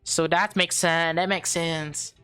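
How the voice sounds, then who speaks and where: A woman reading a visual novel aloud as a gaming host, expressive and playful, stumbling over lines and correcting herself. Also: on the low side, takes it slowly, clean tone, soft background music. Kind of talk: let's play read-aloud with off-script reactions.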